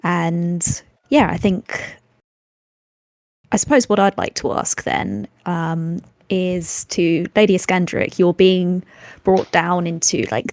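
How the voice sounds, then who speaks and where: A woman playing a tabletop game, describing a scene off the cuff in a thoughtful, halting way. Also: on the low side, gravelly, darkish tone, no room sound.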